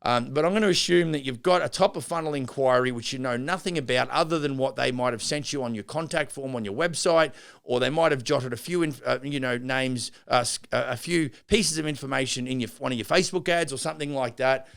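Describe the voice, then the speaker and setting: Gravelly, smooth-voiced rambling podcast man. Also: medium pitch, a little fast, fairly bright tone. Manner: rambling, many restarts and fillers. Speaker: podcast host and builder. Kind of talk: unscripted business podcast monologue.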